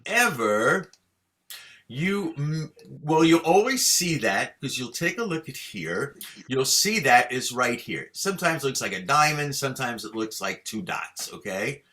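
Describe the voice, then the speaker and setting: A man's voice: medium pitch, very animated, sharp tone. A man teaching Torah chanting to a class over a video call, patient and encouraging as he explains and leads the students. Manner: patient, encouraging, chants each sign slowly for students to repeat.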